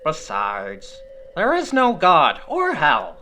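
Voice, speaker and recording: A man voicing a magic puppet in a horror story, calm and secretive, persuading and explaining in an ominous way. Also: fairly high pitch, slow pace, soft background music, pitched above the performer's own voice, exaggerated voice. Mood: insistent, certain.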